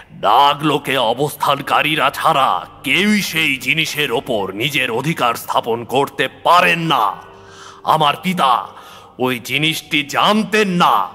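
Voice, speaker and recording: Slow, bright-voiced man narrating horror, heavy reverb. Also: medium pitch, vocal fry. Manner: theatrical, suspenseful delivery with rising emphasis. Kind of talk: dramatic scripted horror narration, first-person storytelling. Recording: long reverb, music under the voice. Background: background music.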